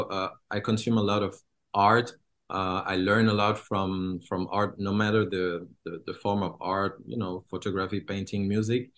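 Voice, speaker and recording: A filmmaker from Congo, answering interview questions in a halting way and searching for words with many fillers. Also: male voice, medium pitch.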